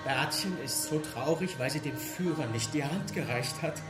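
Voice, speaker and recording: An actor playing a hearty, boozy tavern patron in a radio drama, talking down to a child. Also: male voice, fairly high pitch, moderate pace, close to an everyday voice. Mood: teasing, coaxing. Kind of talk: German radio drama acting. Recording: echoey room with music behind.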